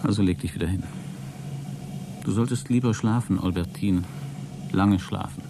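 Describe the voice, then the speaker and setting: A man's voice: low-pitched, moderate pace, noisy background. An actor playing an embittered, self-important man in a radio play, speaking his log in a pedantic, formal, cold manner. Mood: cold, contemptuous. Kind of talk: German radio play acting, spoken logbook monologue.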